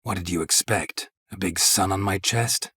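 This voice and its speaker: An actor playing a gruff, stoic, sardonic middle-aged monster hunter in a video game, a gravelly rasp and terse, deadpan delivery. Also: male voice, deep voice, moderate pace, exaggerated voice. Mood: dry, questioning. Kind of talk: video game character dialogue.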